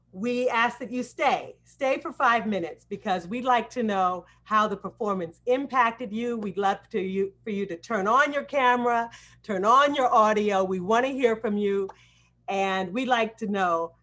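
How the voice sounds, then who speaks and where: Slow-spoken woman hosting a video call. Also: mid-range voice, fairly bright tone. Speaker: acting coach hosting a student presentation. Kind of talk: welcome address on a live video call.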